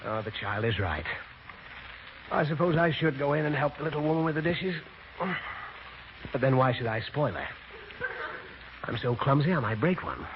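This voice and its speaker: A man's voice: mid-range voice, sing-song, normal speed, long pauses, natural voice. An actor playing a flustered, cowardly, blustering father in theatrical 1940s radio comedy. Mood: self-satisfied. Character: blustering, fretful, cowardly father, dreaming he's a turkey. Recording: noisy, dull vintage radio broadcast.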